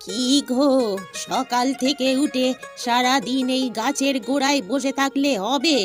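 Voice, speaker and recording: A woman as a high-voiced cartoon housewife. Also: fairly even intonation, a little slow. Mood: nagging, doubtful. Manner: questioning, exclamatory. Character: questioning, practical village housewife. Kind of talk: animated cartoon dialogue. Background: music.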